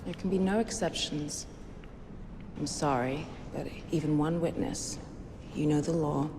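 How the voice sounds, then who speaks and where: Low-voiced grave witch. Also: a little slow, long reverb tail, noisy background. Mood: grave, regretful. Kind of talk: film dialogue in a game cutscene. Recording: loud film music behind.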